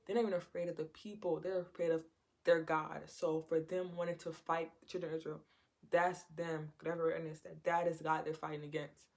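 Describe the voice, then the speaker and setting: A woman's voice: low-pitched, flat delivery, a little fast, a little mellow. A woman giving an informal Bible teaching in a conversational way, repeating her phrases and acting out quotes. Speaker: preacher.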